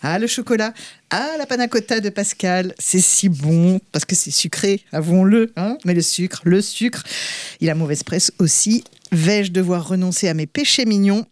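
A woman's voice: lowish voice, moderate pace, dry recording. A woman hosting a local radio food magazine, chatty and playful, introducing guests and asking questions. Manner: lively, warm interviewer voice, teasing asides. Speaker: radio host.

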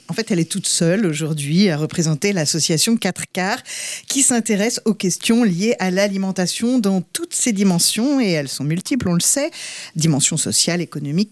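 A woman's voice: fairly low pitch, no echo. A woman hosting a local radio food magazine, chatty and playful, introducing guests and asking questions. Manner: lively, warm interviewer voice, teasing asides. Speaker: radio host.

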